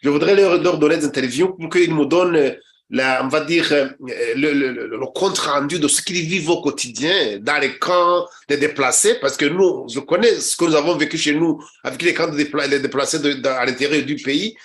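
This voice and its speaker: A man from Rwanda, middle-aged, hosts a political talk show as a journalist. His voice sits a little higher than most men's. He often halts, repeating words and starting over before pressing on with his commentary. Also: a bit bright. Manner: halting, with frequent repeated words and restarts.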